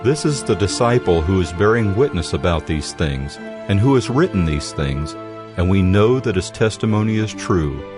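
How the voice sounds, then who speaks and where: A male Bible narrator with a low voice reads scripture steadily and solemnly. Music plays clearly under the narration. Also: lively intonation, normal speed.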